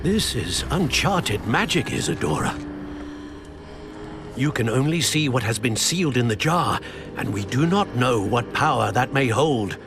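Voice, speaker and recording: A man playing a wise, cautious wizard professor with gentle, formal, measured phrasing in a dramatic video game. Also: mid-range voice, sing-song, background noise. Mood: grave, alarmed. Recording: orchestral music behind the voice.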